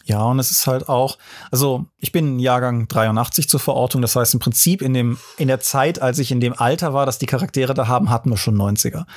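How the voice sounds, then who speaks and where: A man's voice: mid-range voice, brisk. Conversational man explaining at length. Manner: fluent, explanatory, fills in with casual fillers. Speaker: podcast panelist. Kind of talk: casual discussion.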